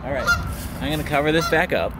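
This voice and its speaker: A man's voice: a little high, fast. Man vlogging outdoors in heavy background noise. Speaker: nature educator. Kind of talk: casual outdoor vlog. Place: outdoors on school grounds. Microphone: phone at arm's length. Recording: noisy outdoor phone recording.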